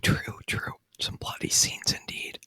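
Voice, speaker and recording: A man whispering softly and breathily in an unhurried ASMR review style, close to the microphone. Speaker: ASMR video host.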